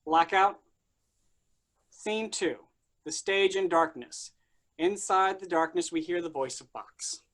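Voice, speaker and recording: Casting: male stage-directions reader, fairly high voice, scripted narration. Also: slow, pauses often, a bit bright, a little hiss or hum.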